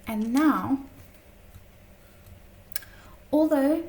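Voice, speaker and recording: A woman teaching a tarot lesson in a chatty, casual way, her voice slightly croaky from a long day of talking, often checking in with "okay?". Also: high voice, drawn-out words, a little mellow, far from the mic, a little hiss or hum. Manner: chatty, many fillers and "okay?" check-ins.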